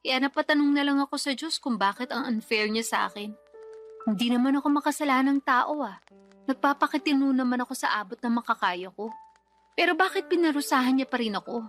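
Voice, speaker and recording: A woman's voice: sits high, fairly quick, fairly bright tone, faint music behind. An actress playing a worn-out, resentful young single mother in a radio drama, with a plaintive, complaining manner. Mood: bitter, aggrieved. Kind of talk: Tagalog radio drama acting.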